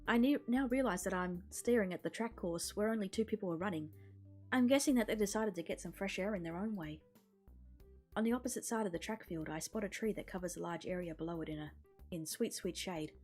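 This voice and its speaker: A woman's voice: medium pitch, brisk, a little mellow, husky. A woman streamer casually narrating a visual novel's script aloud. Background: music.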